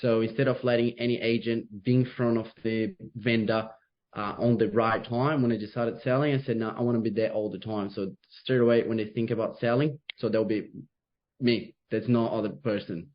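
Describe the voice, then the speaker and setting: A man's voice: fairly low pitch, fairly even intonation, brisk. Upbeat Brazilian-accented young man. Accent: Brazilian Portuguese. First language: Portuguese. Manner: upbeat, loose phrasing with frequent fillers. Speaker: real estate agent. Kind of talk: interview over video call. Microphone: video call. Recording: dull lo-fi video call audio.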